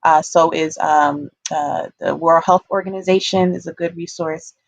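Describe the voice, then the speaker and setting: Creaky, even-toned woman speaking haltingly. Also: low voice, no echo. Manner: hesitant, frequent pauses and ums. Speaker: panelist giving public health talk. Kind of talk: informal community talk with closing prayer. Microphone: video call.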